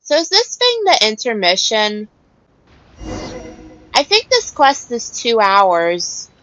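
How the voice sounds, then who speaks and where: A woman's voice: fairly high pitch, wildly swooping pitch, takes it slowly, sharp tone, low-quality recording. A woman giving casual gameplay commentary, with informal, rambling asides. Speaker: gamer.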